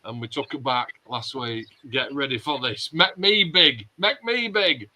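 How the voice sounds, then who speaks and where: A man's voice: medium pitch, unhurried, pushed and bright. A middle-aged man with a Yorkshire accent hosting a casual online chat, telling stories bluntly with plenty of swearing. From Yorkshire, England. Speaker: host of a modelling club chat.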